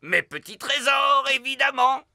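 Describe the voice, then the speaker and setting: A man's voice: very high-pitched, lively intonation, unhurried, vocal fry, heavy background noise, exaggerated voice. A man voicing a jolly, boastful seafaring dog father in a preschool cartoon, telling things in a hearty, theatrical way. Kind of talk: animated preschool cartoon dubbing.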